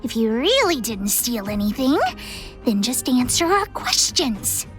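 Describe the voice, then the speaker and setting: A woman's voice: on the high side, sing-song, a little slow, faint music behind. A woman voicing a bossy, feisty little girl detective in a video game, childlike, demanding and dramatic. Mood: bossy, challenging. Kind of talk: English video game voice acting.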